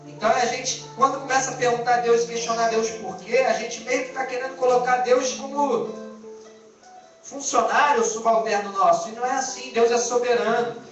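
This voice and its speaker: A man's voice: extremely high pitch, steady tone, normal speed. Male preacher, Brazilian Portuguese accent, exhorting informally with rhetorical questions; dull, distant live-stream sound. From born in Angola, lived in Brazil. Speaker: pastor preaching to a church. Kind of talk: informal sermon. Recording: echoey distant band-limited live stream, music behind.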